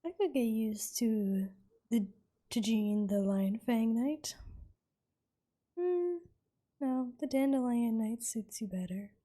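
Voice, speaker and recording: A woman's voice: fairly high pitch, takes it slowly, pauses often, dark tone. A woman streaming a game, giving casual, offhand and playful commentary as she plays.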